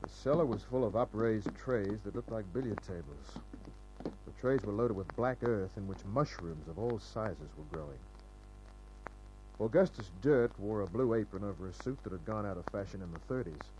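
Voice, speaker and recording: An actor playing a wry, tough private detective in old-time radio drama, dry and laconic. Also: male voice, on the low side, animated, moderate pace, a little mellow, slight background noise, close to an everyday voice, rough recording. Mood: hard-boiled, observant. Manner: dry, hard-boiled, laconic.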